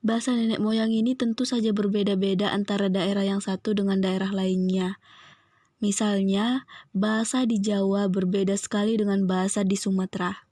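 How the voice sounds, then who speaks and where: Young woman reciting in a flat voice. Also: medium pitch, moderate pace, dry recording, studio quality. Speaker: student presenter. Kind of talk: reading a school assignment.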